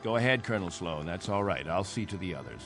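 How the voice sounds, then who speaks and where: An actor playing a proud, long-stranded colony captain in a radio drama, grave and insistent, sometimes halting. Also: male voice, sits low, lively intonation. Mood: guarded, stubborn. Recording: radio drama with faint music behind.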